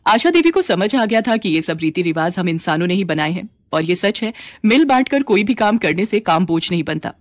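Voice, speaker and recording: A woman's voice: on the low side, sing-song, a little fast, hardly any pauses, no echo. A woman reading scripted narration for a moral family story.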